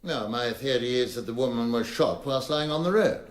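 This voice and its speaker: An actor playing a proud, stubborn medical expert giving curt, formal, clipped answers in a courtroom radio drama. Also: male voice, medium pitch, normal speed, reverberant, natural voice.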